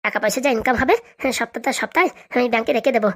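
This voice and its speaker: Brash, enterprising young guy for a comedy cartoon, played by a woman: high-pitched, extremely fast, chatty. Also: a bit bright. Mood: eager. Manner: chatty, colloquial. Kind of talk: comic cartoon dialogue.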